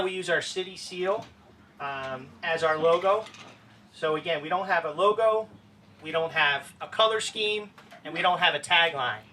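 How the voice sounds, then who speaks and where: A man's voice: high voice, sharp tone. A man serving as a mayor on a panel, speaking casually with many halts and restarts at a live event. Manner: halting, with frequent restarts. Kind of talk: panel answer, casual speech. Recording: distant mic, faint background noise.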